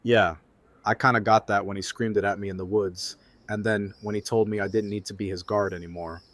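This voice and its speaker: A loyal, easygoing guard in a survival audio drama, acted by a man. His voice is slightly low. He talks in casual, laid-back phrases, a plain-spoken survivor devoted to his duty. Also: steady tone, brisk, a bit bright, dry recording, natural voice. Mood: subdued, candid.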